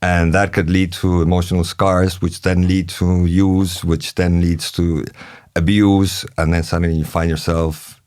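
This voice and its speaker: A man's voice: sits low, level tone, a little slow, darkish tone. A man hosting an interview podcast, talking in a chatty way and tagging his sentences with "no?". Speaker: podcast host. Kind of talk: conversational interviewing.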